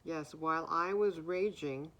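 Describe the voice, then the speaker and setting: Slow, deep-voiced woman, a little hoarse. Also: fairly bright tone. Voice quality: naturally deep voice. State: somewhat hoarse. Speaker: spiritual card reader. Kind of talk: spiritual message, slow and reflective.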